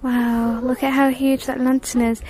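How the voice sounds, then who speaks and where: A woman's voice: high voice, flat delivery, measured pace, darkish tone, low-quality recording. A young woman chatting casually as she browses a decor store, reading out prices, filmed on a handheld phone. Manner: chatty, reads out prices, sometimes drops to a whisper. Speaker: vlogger. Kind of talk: casual shop-with-me commentary. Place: inside a large home decor store. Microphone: handheld phone camera.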